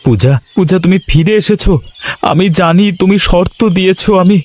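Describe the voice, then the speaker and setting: A man's voice: sits high, normal speed, darkish tone, close to an everyday voice. A man playing a guilty, apologetic young husband in a cartoon family melodrama, earnest and pleading.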